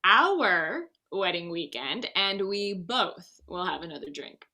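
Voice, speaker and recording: A woman's voice: fairly low pitch, sing-song, slow, sharp tone, heavily acted. A woman acting in a table read as a playful, upbeat, party-loving young bride with a breezy, teasing way of talking. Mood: excited, tipsy. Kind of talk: casual screenplay table read.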